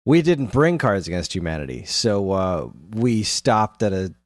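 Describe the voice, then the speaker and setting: Podcast co-host: American man, deep voice, casual enthusiastic chat, very dry sound. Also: lively intonation, unhurried, dry recording. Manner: enthusiastic, informal slang.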